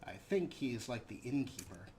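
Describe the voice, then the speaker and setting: A man's voice: mid-range voice, big pitch swings, brisk, darkish tone, slight background noise. A man doing casual, joking let's play commentary, with dry asides over a video game. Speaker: let's play host and narrator. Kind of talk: casual game commentary, reading dialogue choices aloud.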